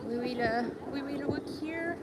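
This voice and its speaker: Brief: woman vlogger, high voice, slow and hesitant, loud city street behind. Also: very noisy. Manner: hesitant, searching for words, light laughs. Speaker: live-stream vlogger. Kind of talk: casual live-stream walking tour. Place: outdoors on busy city streets.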